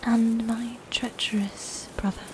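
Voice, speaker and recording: Slow, breathy woman playing a scornful female knight. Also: on the high side, level tone, noisy background. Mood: scornful, stern. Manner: formal archaic diction, commanding, contemptuous. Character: proud, scornful female knight, possessive and bitter. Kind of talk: dominant ASMR fantasy roleplay.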